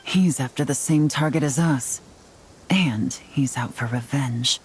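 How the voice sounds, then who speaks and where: An actress playing a serious female investigator in a video game, speaking in a measured, analytical way. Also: very deep voice, slight background noise. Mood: grave, analytical.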